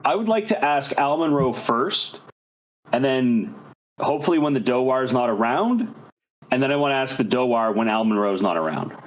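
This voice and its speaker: A man's voice: medium pitch, animated, moderate pace, poor audio. A man chatting casually as a player in a tabletop role-playing game.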